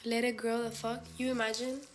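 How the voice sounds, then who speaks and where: A woman's voice: a little high, level tone, fairly quick. A woman hosting a casual livestream from her phone, talking bluntly and swearing freely. She drops Spanish phrases in between her sentences, with a faint hum of background noise underneath her voice. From Spain. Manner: candid, blunt and profane. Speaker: livestream host. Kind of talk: casual live chat with viewers.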